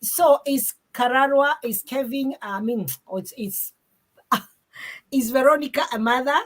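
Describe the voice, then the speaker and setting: A woman's voice: high-pitched, measured pace. A woman hosting an interview, talking animatedly and often repeating words as she gathers her thoughts. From Kenya. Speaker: interview host. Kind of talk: casual interview chat.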